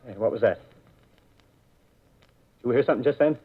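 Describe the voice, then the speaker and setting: Man acting as bitter armed militiaman, rough colloquial speech, emphatic, mid-pitched, 1930s radio drama, thin tinny broadcast recording. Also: a little fast, natural voice. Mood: wary. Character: gruff, embittered, armed militiaman scheming to survive invasion.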